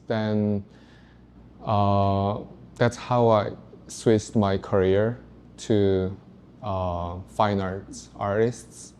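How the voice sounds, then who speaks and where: A man in his thirties from South Korea, a native Korean speaker, telling his story as an artist in a halting, careful way with pauses. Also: on the low side, warm tone, clean tone. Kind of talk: panel talk.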